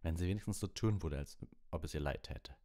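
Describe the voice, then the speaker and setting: A man's voice: low-pitched, brisk, warm tone, natural voice. A man voicing a stubborn, resentful teenage schoolboy in an audiobook. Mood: sulky. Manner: sulky, stubborn.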